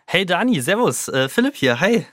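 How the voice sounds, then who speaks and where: A man's voice: sits high, big pitch swings, moderate pace, fairly bright tone, no echo, studio quality. A man, chatting casually in a self-deprecating, hesitant way. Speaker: podcast guest telling a personal story. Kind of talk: casual chat.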